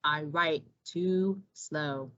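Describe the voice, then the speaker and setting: A woman voicing a reluctant, apologetic storybook tortoise with a subdued, drawn-out delivery. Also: low voice, fairly bright tone, voice pushed down, exaggerated voice. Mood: sluggish, reluctant. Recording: roomy, slightly dull recording.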